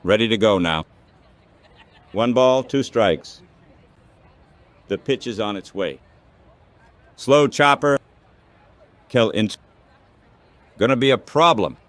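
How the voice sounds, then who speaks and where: A man's voice: lowish voice, animated, takes it slowly, pauses often, no room sound. A synthetic text-to-speech man's voice calling baseball play-by-play in a radio style, in short clipped phrases.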